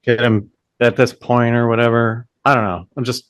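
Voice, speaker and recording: A man's voice: medium pitch, level tone, moderate pace, a bit bright, no echo, studio quality. A man in a casual podcast chat, wry and questioning, joking and swearing freely.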